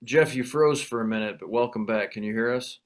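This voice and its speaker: A man's voice: medium pitch, a little fast. A man hosting a conversation over a video call, talking in a rambling, self-deprecating way with many restarts. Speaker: event host.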